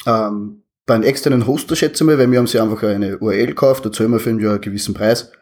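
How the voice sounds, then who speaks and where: A man with an Austrian accent answers interview questions in a relaxed, chatty manner. His voice has a slightly husky edge, and he laughs easily between sentences. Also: on the low side, rapid-fire. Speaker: guest, hobby game reviewer. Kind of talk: casual interview.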